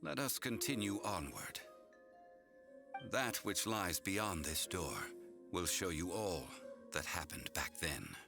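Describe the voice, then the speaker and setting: An actor playing an ancient, dignified male god who tells old stories in a slow, measured way, with music behind him. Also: low voice, animated, creaky, full character voice. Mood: solemn. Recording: game cutscene with loud music.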